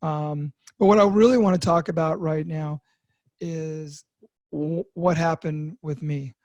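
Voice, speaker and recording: A man in his fifties speaks in a candid personal monologue, stopping and restarting often as he searches for words. His voice is mellow and sits a little high for a man. The recording is dry and close. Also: steady tone, no echo, muffled recording. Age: 50s. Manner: halting, with many fillers and restarts. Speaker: podcast host sharing recovery story.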